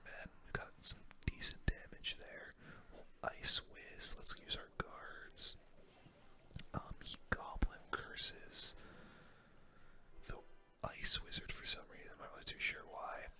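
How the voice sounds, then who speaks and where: A person giving casual, slangy ASMR gaming commentary in a soft, unvoiced whisper. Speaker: gaming video host.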